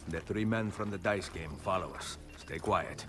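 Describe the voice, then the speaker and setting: An actor playing a stern, commanding hooded Renaissance assassin who speaks tersely in a video game. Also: male voice, low voice, moderate pace, a bit bright, slight background noise. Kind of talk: dramatic video game cutscene acting.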